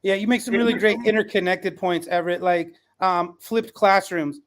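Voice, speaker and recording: A man's voice: high voice, fairly even intonation, moderate pace. A young man hosting a conversational interview, speaking in an appreciative, affirming way. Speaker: podcast host.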